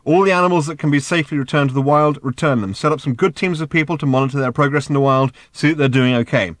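A man voicing a brash, fast-talking roguish space traveller in an audiobook, breezy, bossy and sardonic. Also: mid-range voice, no room sound, clean studio sound, natural voice. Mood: bossy, exasperated. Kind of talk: acted audiobook dialogue.

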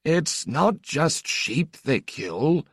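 A man's voice: on the high side, very animated, extremely slow, husky, no echo, studio quality, voice pushed up, full character voice. A man voicing a timid little old village priest who speaks hesitantly and stammers.

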